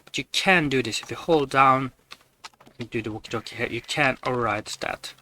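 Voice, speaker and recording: A man's voice: medium pitch, moderate pace, sharp tone, slight background noise. A man giving matter-of-fact, step-by-step commentary on video game glitches over his gameplay. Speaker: gamer presenting glitches.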